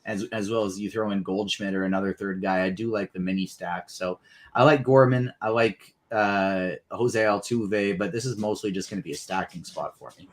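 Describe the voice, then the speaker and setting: A man's voice: on the low side, normal speed. Laid-back young man, fantasy baseball chat. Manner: laid-back, hedging with fillers. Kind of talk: casual sports betting chat.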